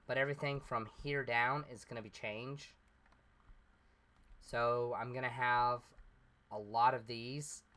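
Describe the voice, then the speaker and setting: A man's voice: mid-range voice, fairly bright tone. A man casually commenting over his gameplay, rambling step by step and sounding a little congested as he recovers from being sick. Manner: rambling, explains step by step with fillers. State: slightly congested, recovering from illness. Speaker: let's play host.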